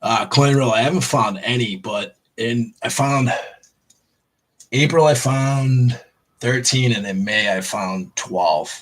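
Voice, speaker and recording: A man's voice: medium pitch, takes it slowly, poor audio. A man chatting casually in a live stream while sorting coins, rambling with many fillers and restarts. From Boston area. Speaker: coin roll hunter streaming.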